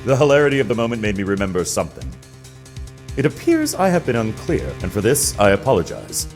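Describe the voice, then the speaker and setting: A man's voice: on the low side, wildly swooping pitch, quick pace. A man playing a vain, smug male witness in a video game courtroom drama, speaking in a pompous, flowery way. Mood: amused, oily. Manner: pompous, flowery, self-satisfied. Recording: clear music behind the voice.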